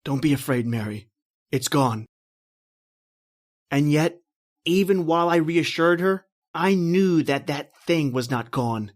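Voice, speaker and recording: An actor playing a terrified, desperate man recounting his flight from a monster, in intense old-time radio horror style with drawn-out, repeating phrases. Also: fairly high pitch, lively intonation. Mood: hushed reassurance. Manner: intense, breathless repetition, drawn-out dramatic phrasing. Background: music.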